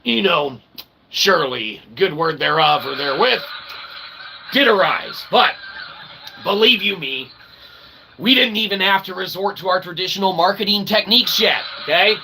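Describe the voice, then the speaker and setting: A man's voice: sits high, normal speed, gravelly, low-quality recording. A man livestreaming a rambling, tongue-in-cheek monologue in verbose, pseudo-formal phrases, often adding short tag questions. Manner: tongue-in-cheek mock philosophizing. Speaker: livestream host. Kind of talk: rambling casual monologue to live viewers. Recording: steady background noise.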